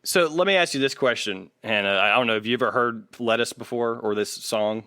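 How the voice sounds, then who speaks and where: A man's voice: mid-range voice, a little fast, sharp tone, clean tone, dry recording, studio quality. A man hosting a podcast, talking music casually in an analytical, opinionated way with some swearing. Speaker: podcast host. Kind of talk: casual music critique chat.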